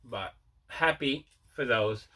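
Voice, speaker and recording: Bright-voiced man, casual host. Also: mid-range voice, slow. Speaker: video host showing mail and movie collection. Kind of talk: casual unboxing chat.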